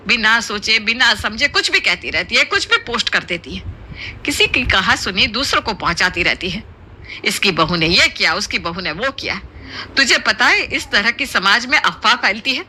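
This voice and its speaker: A woman's voice: mid-range voice, pushed and bright, slight background noise. A woman voicing a bossy, affectionate middle-aged mother-in-law in a cartoon moral story, emphatic and motherly.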